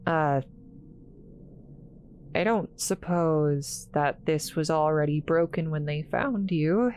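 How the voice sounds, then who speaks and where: A woman playing an awkward, kind scientist in a roleplay audio drama, halting and apologetic, stammering as she talks to the listener. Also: sits low, a little mellow, a little hiss or hum. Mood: nervous, dismayed.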